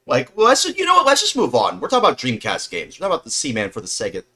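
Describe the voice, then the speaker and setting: Rambling young man, very animated pitch swings. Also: racing. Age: mid-twenties. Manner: rambling, repeats and rephrases himself, earnest. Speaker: livestream host. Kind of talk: casual livestream chat.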